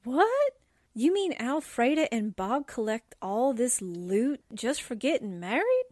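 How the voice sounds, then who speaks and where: A woman's voice: on the high side, sing-song, slow, darkish tone, dry recording, clean studio sound, exaggerated voice. A woman playing a brash, wide-eyed newspaperwoman sidekick, slangy and exclaiming, in 1940s radio drama style. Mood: awestruck.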